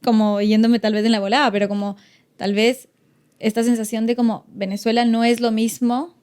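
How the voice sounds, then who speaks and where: A woman's voice: on the high side, little pitch movement, normal speed, a little mellow, clear, resonant tone. A woman from Ecuador with an Ecuadorian accent, talking reflectively as a podcast guest with many fillers and restarts. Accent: Ecuadorian Spanish. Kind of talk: casual podcast conversation.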